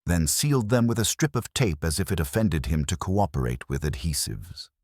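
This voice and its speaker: Male narrator, wry first-person detective fiction. Very low, slightly rough voice, close dry sound. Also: sing-song, moderate pace, a little mellow, dry recording.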